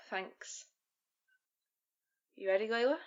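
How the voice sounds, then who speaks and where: A woman's voice: mid-range voice, drawn-out words, dull audio. A woman acting a determined, restless survivor in a naturalistic audio drama. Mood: tense, practical.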